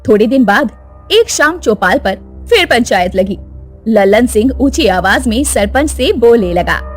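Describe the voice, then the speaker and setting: A woman narrating a cartoon moral story in an expressive storytelling manner. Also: a little high, a little slow, soft background music.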